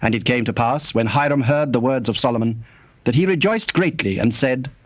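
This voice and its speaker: A man narrating scripture from a script in a formal, measured manner. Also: mid-range voice.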